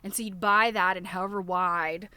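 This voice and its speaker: Female livestream host, bright mid-pitched voice, informal show-and-tell, rambling aloud to viewers. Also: fairly even intonation, moderate pace, clear, resonant tone, no room sound. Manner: chatty show-and-tell, asking viewers questions. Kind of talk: casual unboxing chat to livestream viewers.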